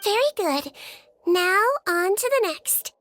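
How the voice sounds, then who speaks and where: A woman voicing a small, gentle young nurse in a video game, soft and girlish, patient and reassuring. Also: extremely high pitch, takes it slowly, soft background music, full character voice. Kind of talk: video game character acting.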